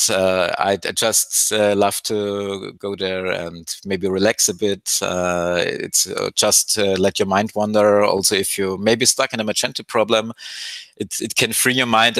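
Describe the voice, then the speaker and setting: A man's voice: on the low side, fairly bright tone, no room sound. A man speaking from home over a video call, answering interview questions thoughtfully with many fillers. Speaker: open source maintainer. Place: home room with a piano.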